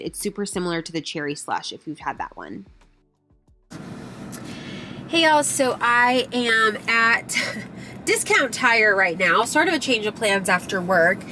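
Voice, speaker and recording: A woman's voice: medium pitch, bright, forceful tone. Chatty woman, slightly nasal. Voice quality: a little nasal. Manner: chatty, rambling, lots of fillers. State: recovering from a cold. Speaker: lifestyle vlogger. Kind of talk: casual chatty vlog.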